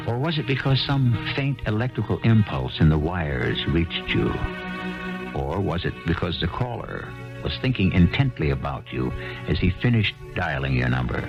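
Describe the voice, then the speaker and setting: A man hosting and narrating a 1970s radio drama in a measured, wry, theatrical delivery. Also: sits low, creaky. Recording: dull lo-fi archival radio broadcast with music.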